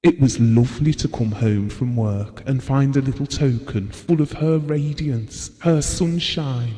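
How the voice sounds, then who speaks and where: A man's voice: mid-range voice, a little slow, mellow, big-room sound, close to an everyday voice. An actor playing a devoted, wistful husband who reminisces tenderly and reflectively about his marriage in an intimate audio drama. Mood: fond, wistful.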